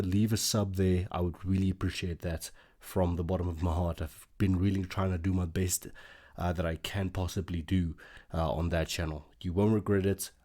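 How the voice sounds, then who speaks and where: A man's voice: low-pitched, warm tone. A man, an Afrikaans speaker, hosting a casual reaction video and thinking aloud with lots of fillers.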